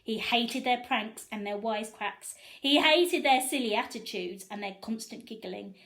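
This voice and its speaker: A woman reading a children's storybook expressively, pausing to put questions to her young listeners. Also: fairly high pitch. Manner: animated, asks listeners questions.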